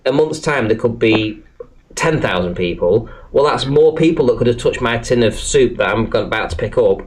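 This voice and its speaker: A man's voice: medium pitch, expressive, normal speed, a little hiss or hum, poor audio. A British man in casual, rambling online discussion, speaking earnestly and hesitantly with some swearing. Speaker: podcast panellist. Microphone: online group call microphone.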